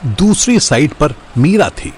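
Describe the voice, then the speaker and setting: A man's voice: on the high side, very animated, slow, darkish tone, a little hiss or hum. A man narrating a Hindi audio drama in a scripted storytelling style.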